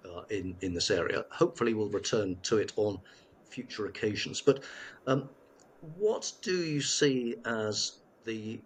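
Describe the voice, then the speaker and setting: A man interviewing a police officer, asking long, formal questions in a halting way with many pauses. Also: fairly low pitch, slight background noise.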